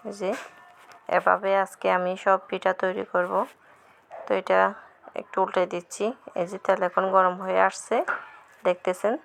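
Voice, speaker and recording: Creaky-voiced woman narrating home cooking. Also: medium pitch. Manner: repetitive, explains each action to viewers as it happens. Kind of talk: casual step-by-step cooking narration. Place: village cooking spot with wind-blown fire. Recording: noisy home cooking recording.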